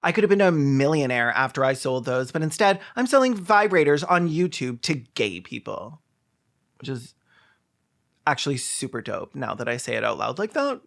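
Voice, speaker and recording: Sarcastic commentator with a mid-pitched voice. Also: big pitch swings, moderate pace, creaky. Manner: sarcastic, mocking, crude, exaggerated. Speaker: YouTube commentary host. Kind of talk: comedic reaction commentary.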